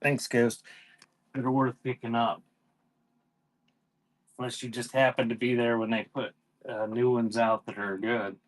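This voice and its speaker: A man's voice: medium pitch, little pitch movement, unhurried, pauses often, no room sound, low-quality recording. A man hosting a live online auction, chatting casually in a laid-back, conversational way as he presents items. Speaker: live auction seller and host.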